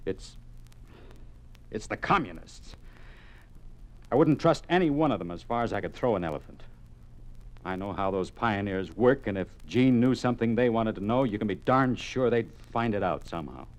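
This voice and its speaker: An actor portrays a worried father in a 1950s radio play. His voice is deep and he speaks gravely and earnestly, pressing his point with stern insistence. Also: expressive, moderate pace, long pauses, natural voice. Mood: grave, regretful. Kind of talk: 1950s radio drama acting.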